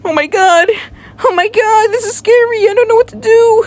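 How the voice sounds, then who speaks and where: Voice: extremely high pitch, normal speed, a little mellow, voice pushed up. An amateur performer playing a panicked survivor trapped by an attacker, frantic and pleading with repeated exclamations. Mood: terrified.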